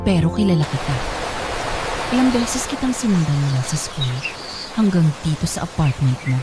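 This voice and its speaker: A woman voices a wronged former teacher, low-pitched and lively. She is accusing and relentless, and her lines sit over a background music bed in an audio drama. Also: normal speed. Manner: accusing, rising to shouting. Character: bitter jilted woman, former teacher, confronting rival. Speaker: former high school chemistry teacher.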